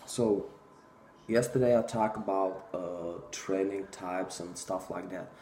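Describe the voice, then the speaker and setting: A man's voice: low voice, slow, mellow, reverberant, slight background noise. A man in his thirties with a Czech accent, talking bluntly and casually to a phone camera in a small room, with many fillers. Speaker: fitness vlogger. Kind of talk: casual talking-head monologue.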